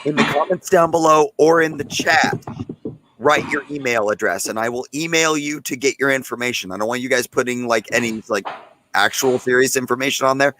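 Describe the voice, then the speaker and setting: Hoarse man hosting a livestream. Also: medium pitch, a little fast, sharp tone, slight background noise, low-quality recording. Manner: loose and chatty, talking straight to viewers in the chat. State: hoarse, losing his voice. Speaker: host of a live gun channel stream. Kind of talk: casual livestream chat.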